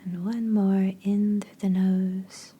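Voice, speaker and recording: A woman leading a guided meditation in a soft, breathy voice with gentle, unhurried, spaced phrases. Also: medium pitch, level tone, slight background noise.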